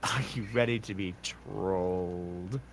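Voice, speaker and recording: A man voicing a self-serious, pathetic, dopey troll in a comic chat-log reading, with pompous, wordy, halting speech. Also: low-pitched, fairly even intonation, a little hiss or hum, voice pushed down. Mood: smug menace.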